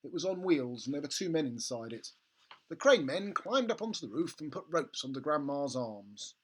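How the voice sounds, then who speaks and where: A storybook reader narrating a children's tale in an expressive, theatrical way. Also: mid-range voice, normal speed, husky. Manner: animated, theatrical.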